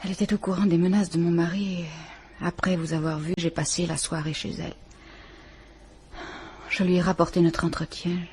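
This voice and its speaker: An actress playing a frightened but stubborn wife in a French radio drama. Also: female voice, lowish voice, flat delivery, moderate pace, long pauses, darkish tone, slight background noise, lightly acted. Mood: subdued.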